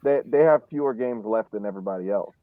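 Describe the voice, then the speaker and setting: A man chatting casually about sports as a podcast panelist, halting and repeating his words. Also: lowish voice, dry recording. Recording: tinny, phone-like dry line.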